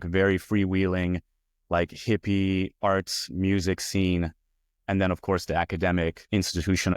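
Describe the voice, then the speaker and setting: A man from Hungary in his forties, a guest talking through his career in a relaxed, rambling way with lots of fillers. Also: low voice, clean tone, no echo, studio quality. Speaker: guest, VP of user experience. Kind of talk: casual interview talk.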